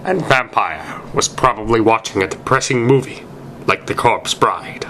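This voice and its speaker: A man reading a story aloud as narrator, with deliberate, emphatic, amateur dramatic delivery. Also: fairly low pitch, a bit bright, slight background noise, poor audio.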